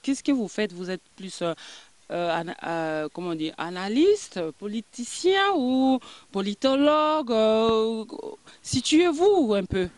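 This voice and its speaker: A woman's voice: a little high, lively intonation, takes it slowly, darkish tone, no echo. A woman in her forties hosting an outdoor interview in a garden with a handheld mic, hesitant with many fillers and emphatic rising questions. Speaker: interviewer host.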